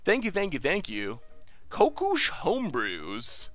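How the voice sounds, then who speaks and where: A high-pitched man with a very lively, bouncy voice talks through a card game on a live stream, cheering and laughing freely. His voice sounds a bit congested and not quite itself. The sound is close and dry, with no room around it. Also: takes it slowly, dry recording. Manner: excitable, laughs often, cheers at wins. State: a little congested, voice slightly off. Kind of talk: casual live-stream gameplay commentary.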